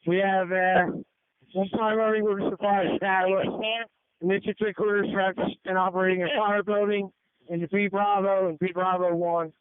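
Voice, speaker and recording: High-pitched male fire commander on radio. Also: flat delivery, normal speed, clean tone, no echo. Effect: two-way radio. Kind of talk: fireground radio traffic. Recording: phone-like narrowband radio transmission.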